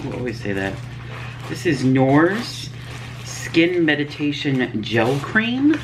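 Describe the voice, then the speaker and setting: Very low-voiced sniffly woman vlogger. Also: very animated, takes it slowly, noisy background. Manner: chatty, reads prices and labels. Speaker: beauty vlogger. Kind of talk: casual unboxing chat.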